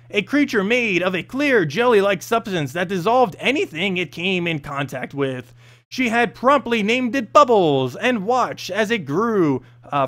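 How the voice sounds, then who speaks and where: Animated, high-voiced man narrating a story. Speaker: narrator and host of a lore reading series.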